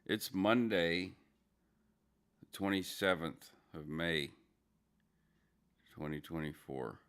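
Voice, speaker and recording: A man hosting a casual morning livestream, greeting viewers by name in a drowsy, rambling way with a low, gravelly morning voice. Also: takes it slowly, fairly bright tone. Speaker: livestream host.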